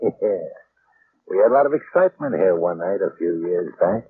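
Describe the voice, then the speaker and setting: An actor playing a swaggering, sadistic killer in an old-time radio crime drama, talking in menacing tough gangster slang. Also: male voice, low-pitched, animated, measured pace. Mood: gloating, menacing. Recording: tinny, noisy old radio recording.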